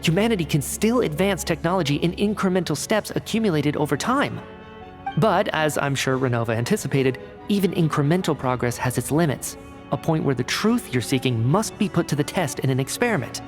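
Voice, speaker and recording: An actor playing an analytical male researcher in a video game cutscene, explaining in a measured, cerebral way. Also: a little high, animated, natural voice. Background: game score music.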